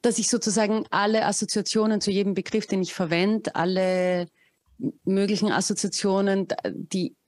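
A woman's voice: medium pitch, steady tone, normal speed, darkish tone, no echo, studio quality. A woman in her thirties, a musician guest on a podcast, speaking thoughtfully and searching for words over a video call. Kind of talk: casual interview chat. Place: home room with bookshelves, video call.

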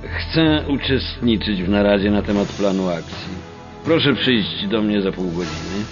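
A cold, commanding Nazi intelligence boss in a radio drama. He gives orders slowly and curtly, with deliberate authority. The sound is dull and narrow, with clear music behind him. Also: fairly low pitch, animated. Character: commanding, cold German Nazi security chief giving orders. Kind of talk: radio docudrama acting. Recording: band-limited radio sound, loud music.

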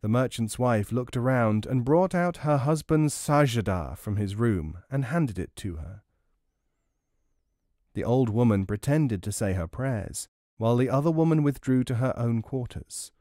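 Casting: male storyteller for audiobook, expressive and articulate, close and dry. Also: medium pitch, unhurried, dry recording. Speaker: audiobook narrator. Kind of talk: scripted storytelling narration.

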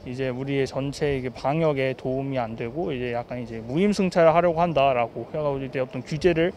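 Young man on the street, thoughtful and steady, into a handheld mic. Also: medium pitch, a little fast, slight background noise. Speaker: interviewee. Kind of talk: street interview. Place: city street outdoors. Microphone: handheld mic held by interviewer.

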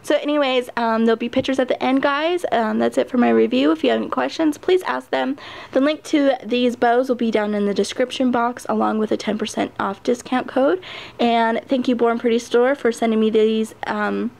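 Chatty woman with a gravelly voice. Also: on the high side, fairly even intonation, normal speed, darkish tone. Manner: chatty with frequent ums and little laughs. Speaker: nail art vlogger. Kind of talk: casual product review. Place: home table, hands on camera.

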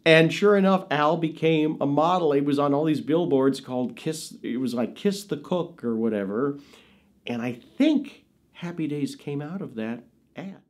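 A man's voice: fairly high pitch, normal speed. A man in his fifties telling anecdotes in an unscripted interview, emphatic and repeating words for stress. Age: 50s. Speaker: actor and filmmaker telling family stories. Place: small indoor studio with dark curtains.

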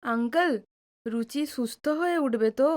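Young female, worried daughter type played by an actress, high voice, questioning manner, audio drama. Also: moderate pace, darkish tone, natural voice.

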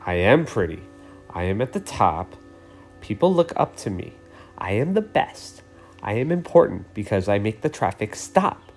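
A vain lady red traffic light from a children's storybook, played by a man. She boasts in self-important declarations, with animated pitch over faint music. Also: normal speed. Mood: angry, boastful.

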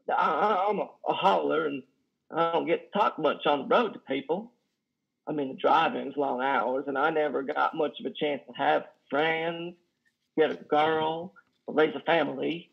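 An actor in his thirties playing a lonely, awkward trucker in a play reading, halting, stammering and folksy. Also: on the high side, vocal fry, husky. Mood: flustered, self-deprecating. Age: 30s. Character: lonely, awkward, self-deprecating trucker, plain-spoken and folksy. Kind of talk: play reading over video call. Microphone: headset on a video call. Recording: dull video-call audio.

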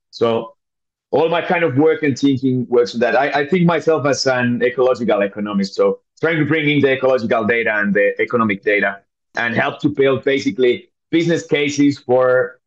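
A man's voice: mid-range voice, highs cut off. A man from Finland with a Finnish accent, answering conversationally as an interview guest. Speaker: podcast guest, scientist.

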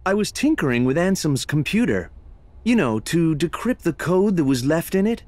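Polite young male scientist for a game: articulate, lightly high, expressive. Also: moderate pace, dry recording. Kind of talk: video game voice acting.

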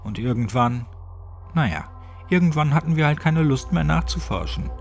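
A man's voice: on the high side, lively intonation, normal speed, soft background music, voice pushed up. A man voicing a cynical, grumbling old village man in clipped, colloquial speech for a horror audiobook. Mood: wry reminiscing. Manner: colloquial, clipped, gruffly chatty. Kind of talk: horror audiobook character dialogue.

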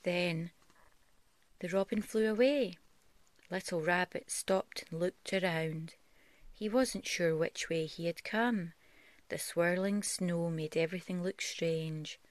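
Mother-type storyteller: woman, slightly low voice, gentle, slow picture-book reading. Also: dry recording. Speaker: parent reading a bedtime story. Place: bedroom, on a bed at home.

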